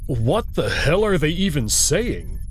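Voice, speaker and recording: A man's voice: on the high side, big pitch swings, slow, faint music behind. Man playing a terse, impatient alien commander. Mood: impatient, commanding. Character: impatient male alien commander, imperious, skeptical.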